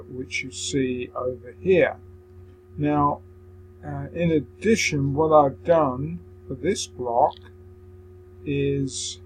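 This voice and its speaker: Slow-paced man giving a software walkthrough. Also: medium pitch, a little hiss or hum, rough recording. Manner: hesitant, explaining step by step. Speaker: tutorial presenter. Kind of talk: software screen-recording walkthrough.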